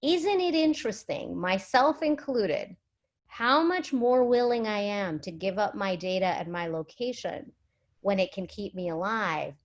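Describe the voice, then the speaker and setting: A woman's voice: mid-range voice, expressive, a little slow. Middle-aged woman presenting, articulate and emphatic. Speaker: physician and guest speaker. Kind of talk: virtual summit presentation, conversational.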